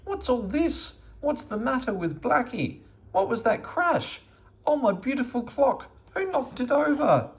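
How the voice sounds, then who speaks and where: A man's voice: very high voice, big pitch swings, a little slow, pitched above the performer's own voice, exaggerated voice. A man voicing a fussy, outraged older woman who exclaims and scolds in a children's storybook. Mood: alarmed, outraged. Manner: exclaiming, indignant, fault-finding.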